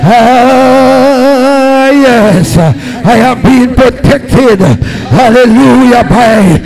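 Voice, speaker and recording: Jamaican male pastor, high voice, slow fervent delivery, handheld mic in a church hall. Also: faint music behind. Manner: shouting, peppered with hallelujahs, gesturing at a pulpit. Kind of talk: fiery Pentecostal sermon. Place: large church hall with red curtains.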